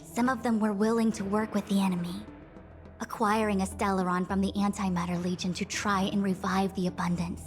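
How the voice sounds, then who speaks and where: A woman voicing a dignified, authoritative young diviner and acting general in a video game, speaking formally and with measured eloquence. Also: medium pitch, little pitch movement, soft background music, lightly acted. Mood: grave, analytical. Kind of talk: video game character dialogue.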